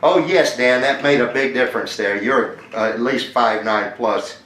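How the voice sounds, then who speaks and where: Male radio amateur, conversational and repetitive, medium pitch, echoey sound. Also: moderate pace, very noisy. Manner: chatty, repeats details, spells phonetically. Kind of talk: conversational ham radio contact. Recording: roomy, echoing ham radio audio.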